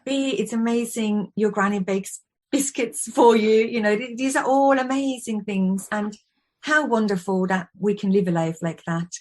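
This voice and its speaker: A woman's voice: mid-range voice, moderate pace. Chatty woman in her forties. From Austria. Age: 40s. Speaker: guest, wellbeing expert and yoga teacher. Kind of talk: conversational interview.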